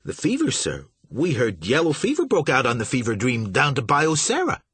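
A man's voice: medium pitch, huge pitch swings, normal speed, a bit bright, breathy edge, dry recording, voice pushed up, heavily acted. A man voicing a flustered, nervous young shipping agent who stammers and fawns. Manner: stammering, fawning.

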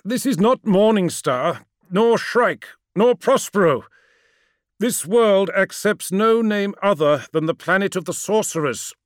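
A loyal warrior son played by a male narrator, sorrowful and stubbornly honest. He projects urgently yet respectfully, his voice lifted and highly animated, in a fantasy audiobook. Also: measured pace, few pauses, no echo, studio quality. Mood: urgent insistence. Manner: urgent, earnest, deferential, projecting over a storm.

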